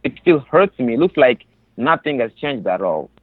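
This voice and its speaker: A man's voice: medium pitch, sing-song, moderate pace, no room sound. Congolese man, thin phone-like sound. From Democratic Republic of the Congo, raised in a refugee camp in Tanzania. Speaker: interview guest, resettled refugee. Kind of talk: personal testimony in an interview.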